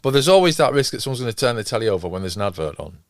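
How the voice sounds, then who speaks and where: A man with a fairly low voice chats casually as a podcast host. He rambles and hesitates his way through his thoughts, recorded close and dry. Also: sing-song, very fast, dry recording.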